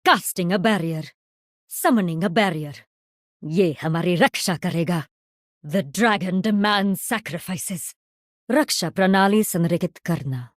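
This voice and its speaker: A commanding mystic summoner played by a woman with an Indian accent and a fairly low voice. She is composed, formal and imperious, like a dragon priestess in a video game, recorded very dry and close. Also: fast talker, no room sound, close to an everyday voice. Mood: imperious, ominous.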